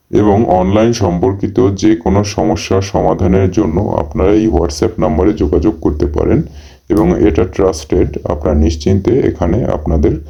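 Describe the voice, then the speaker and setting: A man's voice: low voice, gravelly, mellow, slightly rough, muffled recording. A man giving a tutorial-style promotional voice-over for a phone app.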